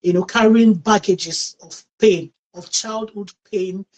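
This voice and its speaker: A woman's voice: medium pitch, slow. Nigerian accent, woman, thirties or older, interview host, effusive, repeats words. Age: 30s or older. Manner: warm, effusive thanks, stammering repeats. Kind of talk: interview hosting.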